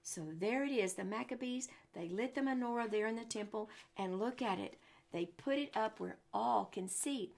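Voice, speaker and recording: Female children's storyteller, creaky fry in the voice, chatty read-aloud with questions and exclamations for the kids. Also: a little high, normal speed, darkish tone. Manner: asks the young listeners rhetorical questions. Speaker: storyteller and religious teacher for children. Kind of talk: picture-book story time for children.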